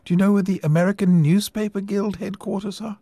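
A man's voice: high voice, steady tone, fairly quick, dark tone, no echo, voice pushed up. A man voicing a sweet, polite young woman reporter in a storybook reading.

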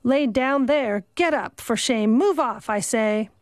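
A gruff old servant woman, as a woman reader puts her on, scolding in a rustic country dialect and keeping a stranger out. Her voice sits fairly high and close. The sound is dry and right on the mic. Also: takes it slowly, dry recording. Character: old female servant, blunt and suspicious.

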